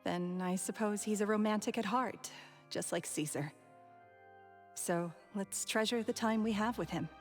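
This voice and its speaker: A woman playing a gentle, sentimental woman who reminisces tenderly in a video game. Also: medium pitch, measured pace, close to an everyday voice. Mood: fond, nostalgic. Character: gentle, wistful, sentimental woman recalling her old love. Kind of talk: video game cutscene dialogue. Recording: faint music behind.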